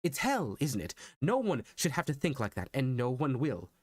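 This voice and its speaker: A man's voice: mid-range voice, wildly swooping pitch, normal speed, no room sound, studio quality. A man playing an anguished, manic time-travelling hero in an intense, exasperated theatrical monologue. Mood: manic, urgent. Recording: background music under the voice.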